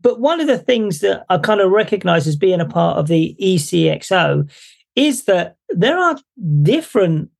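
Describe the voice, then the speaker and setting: A man's voice: high voice, creaky, darkish tone. A man hosting an interview podcast, talking in a rambling, self-correcting way and laughing easily. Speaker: podcast host. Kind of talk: conversational interview.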